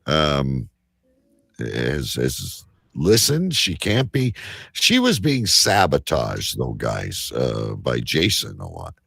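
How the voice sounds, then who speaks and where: Very deep-voiced man, lively and teasing. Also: gravelly, husky, dry recording, studio quality. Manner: joking, teasing, halting. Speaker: host of a live online show. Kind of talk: casual chat, hosting a live show.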